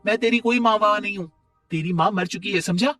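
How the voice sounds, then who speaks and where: A man voicing a cruel, high-pitched scolding stepmother. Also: fairly quick, sharp tone, no room sound, studio quality, far from the mic, soft background music. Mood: angry, defiant. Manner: harsh, scolding, bossy. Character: cruel, scornful stepmother, adult woman. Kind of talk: Hindi moral cartoon voice acting.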